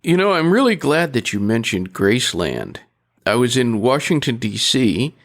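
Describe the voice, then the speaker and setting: A man hosting an interview, asking measured, curious questions. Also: mid-range voice, very animated. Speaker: interview host. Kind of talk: casual interview conversation.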